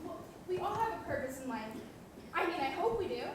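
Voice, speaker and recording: A woman's voice: very high-pitched, lively intonation, fairly quick. A young woman playing an anxious, exasperated wife in a stage comedy, urgent and insistent, tending to stammer. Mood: earnest, uneasy. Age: teens or young adult. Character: anxious, exasperated wife convinced her television is alive. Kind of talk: theatrical stage comedy acting. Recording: very echoey hall, distant mic, noisy.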